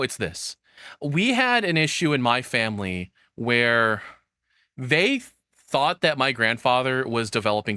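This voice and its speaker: A male streamer with a bright, forceful voice at an average pitch, talking casually through his reactions. He rambles and swears freely, and the sound is close and dry. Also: no echo. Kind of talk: casual live reaction commentary.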